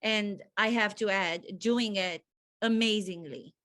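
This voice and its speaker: A woman's voice: medium pitch, measured pace, fairly bright tone. A woman acting coach hosting a video call, encouraging and full of praise for her students.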